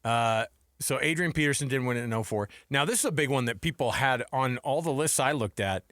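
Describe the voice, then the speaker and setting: A man's voice: medium pitch, brisk, a bit bright, no echo. Male American radio host, casual sports banter, conversational phrasing, mid-range voice, very dry close sound. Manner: chatty, colloquial. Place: radio studio. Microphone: close studio microphone.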